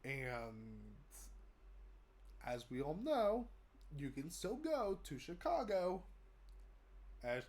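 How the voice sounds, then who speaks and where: A man's voice: a little high, wildly swooping pitch, very slow, long pauses, a little mellow. Young American man, head cold, stuffy voice; casual vlog update, meandering with fillers. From United States. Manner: rambling, many fillers and restarts. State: congested from a cold. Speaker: vlogger. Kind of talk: casual update talk to camera.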